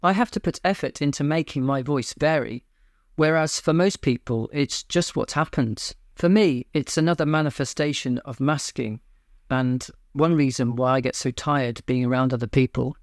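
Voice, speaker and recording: A man hosting his own channel, speaking in a flat, deadpan monotone in a scripted personal monologue. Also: medium pitch, normal speed, dry recording, clean studio sound. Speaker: YouTube channel host. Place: home.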